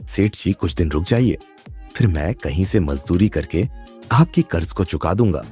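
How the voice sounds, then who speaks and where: A man voicing a poor village farmer, a husband and father, pleading and plaintive in an animated moral story. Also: low voice, moderate pace, faint music behind.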